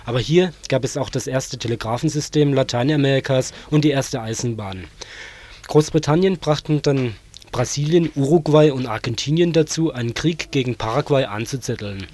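A man reading a scripted background report on the radio in an even, matter-of-fact way. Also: mid-range voice. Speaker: radio contributor.

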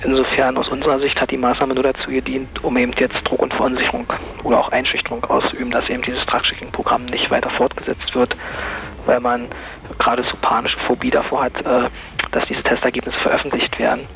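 A man in an interview speaks quickly and evenly, with a gravelly edge to his voice. He sets out his position in formal, matter-of-fact language. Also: mid-range voice, completely flat delivery, fairly bright tone, poor audio. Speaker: interviewee from a drug-checking programme. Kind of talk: explaining a legal position in an interview.

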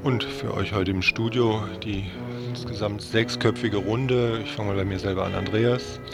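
A man's voice: on the low side, fairly even intonation, moderate pace, creaky, loud music behind the voice. A man hosting a radio show, informally announcing the programme from the studio. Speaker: radio host.

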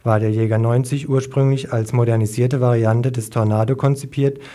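A man's voice: mid-range voice, fairly even intonation, normal speed, dark tone. A man presenting a radio news report, reading from a script.

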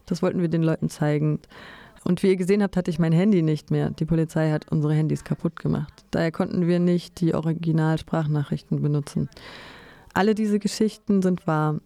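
A woman delivering a translated voice-over in measured, fluent and orderly sentences. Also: lowish voice, vocal fry, warm tone, no echo. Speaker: voice-over interpreter.

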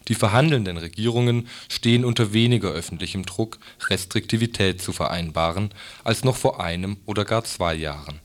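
A man's voice: on the low side, a little fast. A man formally reads written excerpts for a radio report. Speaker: reader of quotations in a radio report.

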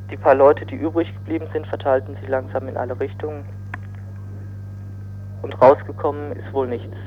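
A man reading out a scripted radio news report by telephone, stumbling and halting now and then. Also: mid-range voice. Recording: noisy, humming, narrow telephone line.

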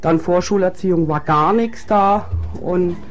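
A woman's voice: fairly low pitch, unhurried, a little hiss or hum. A woman in casual chat, explaining a matter in a plain way.

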